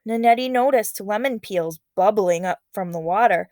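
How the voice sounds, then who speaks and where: A woman's voice: mid-range voice, normal speed, darkish tone, no room sound, studio quality. A woman reading a story aloud as a teacher, expressively, with casual, encouraging comments along the way.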